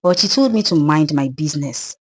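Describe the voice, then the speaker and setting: Woman voicing a sorrowful Nigerian ghost widow, very animated. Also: sits low, a little fast, husky. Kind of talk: dramatised folktale narration.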